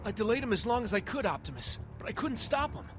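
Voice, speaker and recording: High, quick-talking scrappy robot scout. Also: male voice, very animated. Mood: urgent. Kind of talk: video game character acting. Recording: music behind the voice.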